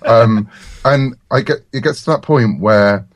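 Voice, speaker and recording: A man's voice: medium pitch, unhurried, no room sound. A middle-aged British man speaking as a writer guest in a casual, chatty podcast interview.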